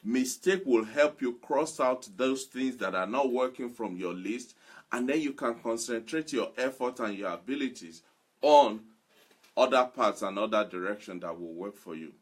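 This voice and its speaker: A man giving a motivational sermon, speaking emphatically and repeating phrases deliberately for weight. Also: medium pitch. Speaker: motivational preacher.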